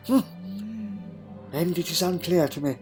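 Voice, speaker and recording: A man playing a wistful alien time traveller in a dramatic audio drama, speaking haltingly and reflectively in short phrases. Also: fairly high pitch, steady tone, a little mellow, natural voice. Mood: lonely, haunted. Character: wistful male alien time traveller recalling a lonely childhood. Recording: music under the voice.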